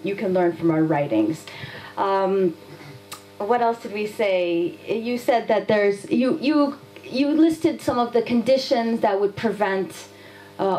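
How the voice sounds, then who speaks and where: A woman from Afghanistan answers an interviewer directly, pushing back with pointed rhetorical questions. Her voice sits at an ordinary middle pitch. A faint hum runs under the recording. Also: mellow, rough recording. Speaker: guest from a nonviolence institute.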